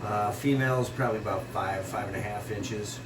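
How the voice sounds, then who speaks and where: A man with a stuffy nose giving a rambling, casual tour of his home fish room, pausing often with fillers. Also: on the low side, steady tone. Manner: rambling, with many pauses and fillers. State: congested with a runny nose. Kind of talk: casual walkthrough vlog. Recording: echoey room with loud AC hum, mic at a distance.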